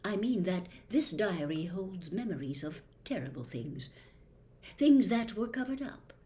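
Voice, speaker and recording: A woman voicing the persuasive memory of a young man trapped in a diary, speaking in a hushed, half-whispered way. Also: medium pitch, lively intonation, unhurried, lightly acted. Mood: secretive. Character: young male phantom in a diary, secretive and persuasive.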